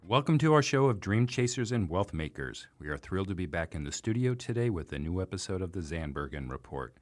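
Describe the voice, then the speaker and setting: Male podcast interviewer, low register, studio setting. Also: very animated, fairly quick. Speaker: podcast host. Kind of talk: interview hosting.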